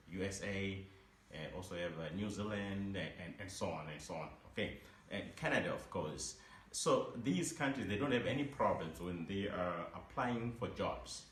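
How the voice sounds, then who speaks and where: A man from Zimbabwe, a teacher giving informal advice in a halting way, full of restarts and repeated words. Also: sits low. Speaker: English teacher. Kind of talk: informal advice talk to camera. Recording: echoey room, faint background noise.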